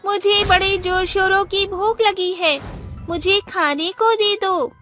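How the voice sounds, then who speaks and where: A woman voicing a magical golden cow, kindly and grateful, in an animated moral story, with slow declarations. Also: very high voice, soft background music, exaggerated voice. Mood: hungry, pleading.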